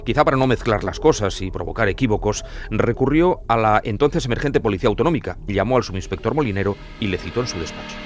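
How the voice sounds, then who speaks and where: Low-voiced man narrating true crime. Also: expressive, fast, slight background noise. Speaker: journalist narrator.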